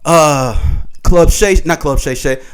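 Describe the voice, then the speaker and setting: Rambling podcast host, fairly high voice. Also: male voice, slow, clean tone. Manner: loose, rambling setup with fillers. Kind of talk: casual chat.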